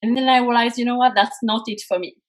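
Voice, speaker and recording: Woman, French accent, fairly high pitch, earnest, animated storytelling, interview guest. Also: quick pace. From France. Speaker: guest, career coach. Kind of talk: podcast interview.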